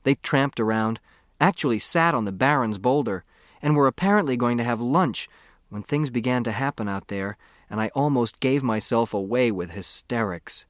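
An American man narrates an audiobook novel in the first person. His voice is medium in pitch, with an expressive, boyish storytelling manner. The recording is very dry, with no room sound. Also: moderate pace, dry recording.

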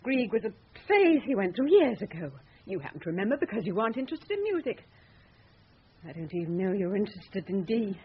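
Radio play: sarcastic, needling woman, husky, animated, mocking questions, dull recording. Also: medium pitch, brisk. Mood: cutting, corrective. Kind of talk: radio drama acting.

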